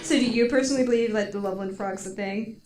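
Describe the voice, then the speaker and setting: A woman's voice: on the high side, quick pace. A woman co-hosting a podcast in casual banter, tossing in playful quips. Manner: playful, quipping. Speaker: podcast co-host. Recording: very noisy podcast recording with clicks.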